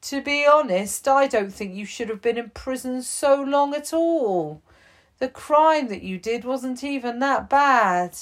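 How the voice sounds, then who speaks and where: A woman's voice: high-pitched, expressive, unhurried, voice pushed up. A woman voicing a patient, kind male prison chief in a bedtime story. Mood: gentle. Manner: gentle, reassuring.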